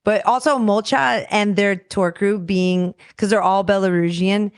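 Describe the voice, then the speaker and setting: A woman, a touring musician, chatting casually and quickly, easygoing and laughing between remarks. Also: mid-range voice, fairly even intonation, smooth, no echo, clean studio sound. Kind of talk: casual chat.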